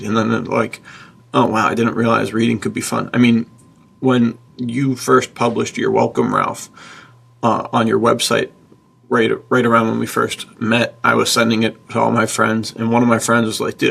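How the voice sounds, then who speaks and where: Male podcast co-host, heavy vocal fry, raspy, casual, enthusiastic, slangy buddy talk. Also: on the low side, fairly bright tone.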